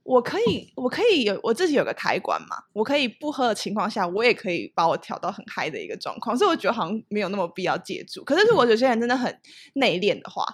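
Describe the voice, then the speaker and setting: Brief: woman, breathy voice, lively pitch, quick casual chat. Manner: quick, animated talk with hesitant restarts. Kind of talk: casual conversational interview.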